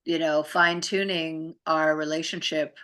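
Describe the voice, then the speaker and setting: A woman's voice: low voice, fairly even intonation, normal speed, a bit bright. A middle-aged woman co-hosting a spiritual talk show, chatting casually in an earnest, explanatory way. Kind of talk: casual chat.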